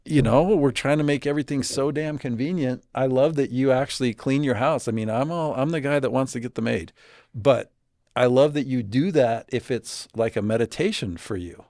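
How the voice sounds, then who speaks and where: A man's voice: mid-range voice, moderate pace, a little mellow, dry recording, clean studio sound. A middle-aged man telling a heartfelt personal story on a podcast, earnest and swearing freely. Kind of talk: casual conversation.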